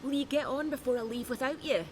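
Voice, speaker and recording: An actress playing a blunt, guarded smuggler in a fantasy video game, with a dry, sardonic way of talking. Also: female voice, sits high, fast talker, a crowd in the background, lightly acted. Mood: wry, impatient. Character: wry, blunt smuggler woman with a soft heart.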